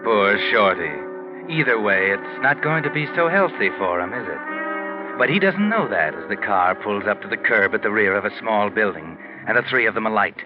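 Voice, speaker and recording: An actor playing a sardonic, ominous narrator of a 1940s radio mystery. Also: male voice, fairly low pitch, expressive, normal speed, music in the background, lightly acted. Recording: muffled 1940s radio recording.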